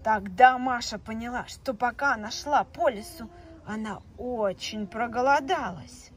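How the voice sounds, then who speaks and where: A woman telling a children's fairy tale in a slow, expressive, sing-song way. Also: a little high, breathy edge. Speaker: storyteller. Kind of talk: children's story narration with paper puppets. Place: indoors, tabletop on green felt.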